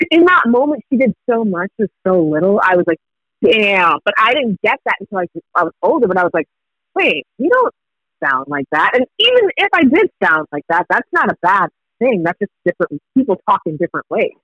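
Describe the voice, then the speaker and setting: Kenyan-born guy, swahili first language, high voice, dull dry recording. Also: fairly quick, dry recording. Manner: animated storytelling with laughs. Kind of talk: casual chat.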